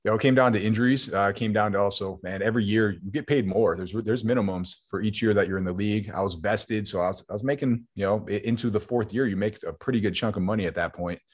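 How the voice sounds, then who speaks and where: Fast-talking low-voiced man telling stories. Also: husky. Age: 20s. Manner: relaxed, rambling, says "man" often. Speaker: guest, former football player turned entrepreneur. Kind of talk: casual interview storytelling.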